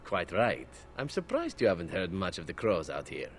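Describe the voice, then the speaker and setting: An actor voicing a charming, glib male elf assassin in a video game, smooth and playfully teasing. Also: low voice, wildly swooping pitch, normal speed, slight background noise. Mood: sly. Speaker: game character.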